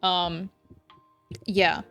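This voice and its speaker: A woman's voice: on the low side, flat delivery, drawn-out words. A woman game streamer chatting casually with her viewers. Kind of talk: casual livestream chat. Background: soft background music.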